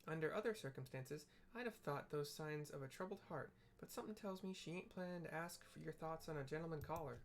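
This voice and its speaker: A player voicing a brisk, motherly guild mistress, reading her game lines aloud in a folksy, chatty way. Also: mid-range voice, close to an everyday voice.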